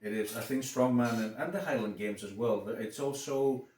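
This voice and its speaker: A man with a Greek accent hosting a podcast, talking casually with many halting restarts. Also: mid-range voice, darkish tone, reverberant, far from the mic, a little hiss or hum. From Greece. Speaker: podcast host. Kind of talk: casual interview chat.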